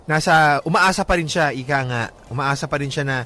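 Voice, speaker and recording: A man's voice: fairly high pitch, fairly quick, pushed and bright. A Filipino man hosting a radio love-story show, moving between reading and casual, blunt, joking talk with some English mixed in. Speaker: radio host. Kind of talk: casual advice banter and dramatic reading of listener letters on love. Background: background music.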